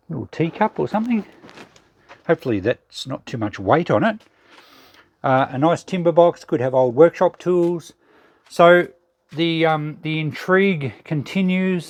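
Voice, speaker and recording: A man's voice: fairly high pitch, expressive, unhurried, long pauses, no room sound. An Australian man casually narrating as a vlog host, musing and thinking aloud as he goes. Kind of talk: casual narrated walkthrough of a shed clean-out.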